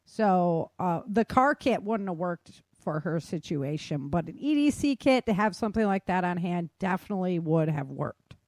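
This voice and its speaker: A woman's voice: on the low side, lively intonation, normal speed, hardly any pauses, darkish tone, no echo. A woman hosting a podcast as its author, speaking in an informal, conversational style. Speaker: podcast host and audio drama author. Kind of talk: casual podcast chat.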